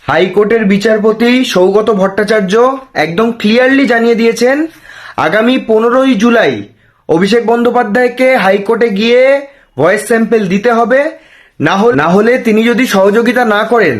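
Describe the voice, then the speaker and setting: A man's voice: sits high, brisk. A man with a West Bengal accent giving opinionated political commentary, challenging with rhetorical questions and dropping in English words. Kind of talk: opinionated news commentary to camera.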